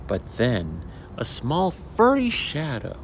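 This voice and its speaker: A man reading a picture book aloud to children in an animated, theatrical way with deliberate phrasing, as a storyteller. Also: medium pitch, creaky. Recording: steady background noise.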